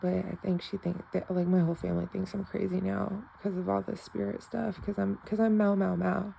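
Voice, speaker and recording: Female vlogger, flat gravelly delivery, American accent in German, with faint background noise. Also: medium pitch, normal speed, lo-fi sound. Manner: rambling, repeats phrases, many restarts; switches between English and German. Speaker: self-described novice clairvoyant. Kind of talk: casual rambling spiritual vlog.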